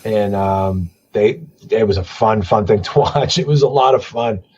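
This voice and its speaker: A man in his forties, a podcast guest, chatting casually with a voice that is going hoarse from a cold, swearing freely and rambling with lots of restarts. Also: on the low side, normal speed, darkish tone, a little hiss or hum. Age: 40s. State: losing his voice, starting a cold. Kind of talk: casual chat.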